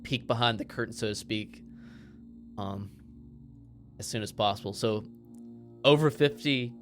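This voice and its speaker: A man playing a tabletop roleplaying game, haltingly improvising what his character does. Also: lowish voice, fairly bright tone, soft background music.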